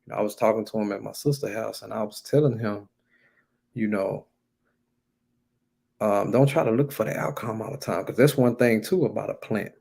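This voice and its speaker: A man's voice: on the low side, moderate pace, gravelly, pauses often, a little mellow, breathy edge. An American man preaching in an informal, colloquial way, stressing and repeating key phrases as he exhorts his listeners. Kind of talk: informal sermon, exhorting talk.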